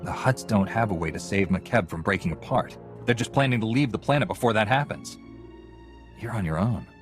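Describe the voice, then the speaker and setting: An actor playing a calm, resolute Jedi hero in a video game, speaking in a direct, measured, authoritative way. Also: male voice, lowish voice, faint music behind, natural voice. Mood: earnest, resolute.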